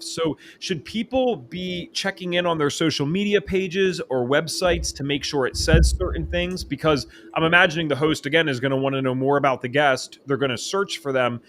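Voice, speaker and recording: A man hosting a podcast interview from a home office, talking in an excitable, fast way. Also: a little high, slight background noise. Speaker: podcast host. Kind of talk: casual interview chat. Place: home office, empty spare bedroom. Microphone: studio microphone.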